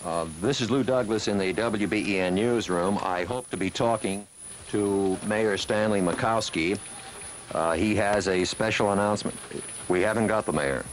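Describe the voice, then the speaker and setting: Radio newsman, American, very low voice, live bulletin on slightly noisy seventies aircheck. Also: normal speed.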